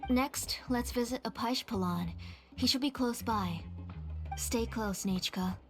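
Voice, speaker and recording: A woman playing a caring, courteous mother in a video game, with a gentle manner. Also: on the high side, measured pace. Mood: kindly. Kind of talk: video game character acting. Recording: clear music under the voice.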